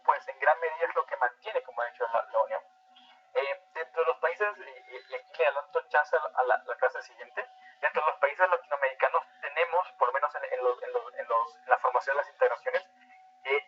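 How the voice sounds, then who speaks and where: A man's voice: on the high side, muffled recording. A man lecturing informally as an instructor, hesitant and often restating his phrases. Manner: hesitant, repetitive delivery, often restating phrases and checking understanding. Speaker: instructor of a political training class. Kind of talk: informal lecture explaining political integration.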